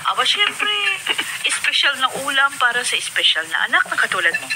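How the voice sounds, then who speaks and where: Animated, doting proud mother. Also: on the high side, a little fast, loud music behind the voice. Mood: affectionate, admiring. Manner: warm, fussing, exclamatory. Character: doting, proud middle-aged mother, excitable and affectionate. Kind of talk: Filipino radio drama acting. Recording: noisy radio broadcast.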